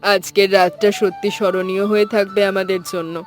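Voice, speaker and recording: Over soft music, a woman plays a village matron in a cartoon. She sounds earnest and familiar, caring and ready to help. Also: mid-range voice, flat delivery, fairly quick, close to an everyday voice. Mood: happy. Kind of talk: dramatized cartoon dialogue. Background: background music.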